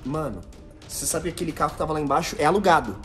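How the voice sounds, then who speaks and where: A man's voice: on the high side, animated, very fast, soft background music. A man reacting in dramatic exasperation during casual chat. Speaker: friend.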